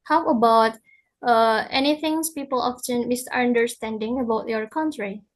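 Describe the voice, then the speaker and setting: A young Indonesian woman, a student, asking interview questions in English in a halting, hesitant way. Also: fairly high pitch. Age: young adult.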